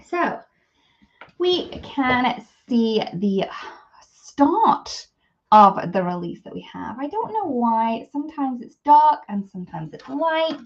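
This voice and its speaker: A woman with a sniffly cold hosting a casual live product demo, chatty and greeting viewers by name. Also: fairly high pitch. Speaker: craft live stream host. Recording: slightly dull live stream audio.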